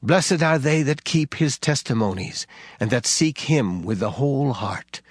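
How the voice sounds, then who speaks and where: A man's voice: mid-range voice, expressive, measured pace, slightly rough, dry recording, clean studio sound. A man giving a dramatized reading of scripture. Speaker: scripture reader. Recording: reading over a music bed.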